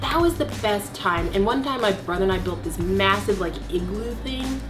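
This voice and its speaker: A woman's voice: mid-range voice, lively intonation, normal speed, music in the background. A young woman from Denver chatting casually as a co-host at her family home, giggly and full of "like". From Denver, Colorado, USA. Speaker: YouTube vlogger, co-host. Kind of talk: casual chat.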